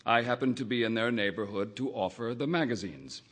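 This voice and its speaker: A man reading a first-person literary short story aloud, in a measured, wry narrating manner. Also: medium pitch, a bit bright. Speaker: audiobook reader.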